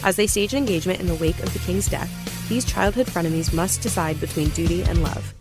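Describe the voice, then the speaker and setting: A woman's voice: on the low side, music in the background. A woman reading a scripted promo for an audio drama in a polished announcer style. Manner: brisk, polished announcer delivery.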